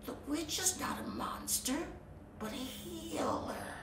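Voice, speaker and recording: A woman voicing a sly, sneaky sea snake who coaxes in a soft, whispery voice. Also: sits high, animated, slow, gravelly, recorded from a distance, crowd noise, voice pushed up, full character voice. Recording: roomy echo.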